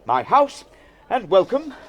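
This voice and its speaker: Old lord for Shakespearean audio drama: gracious, commanding father, declamatory, very varied pitch, deliberate pace. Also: very animated, a bit bright, lo-fi sound, slight background noise, heavily acted. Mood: brisk, commanding. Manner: measured, declamatory, verse-speaking. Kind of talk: classical Shakespearean audio drama acting in verse.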